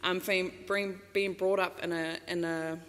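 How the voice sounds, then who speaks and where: A woman's voice: on the low side, little pitch movement, measured pace. A New Zealand woman giving a casual public talk at a microphone stand, chatty, laughing often, full of fillers and restarts. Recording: long hall reverb.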